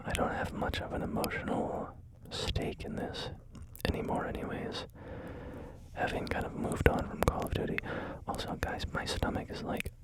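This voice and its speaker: A man whispering through a relaxed ASMR gaming video, rambling and joking about himself with many fillers. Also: poor audio. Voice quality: soft unvoiced whisper. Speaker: ASMR creator.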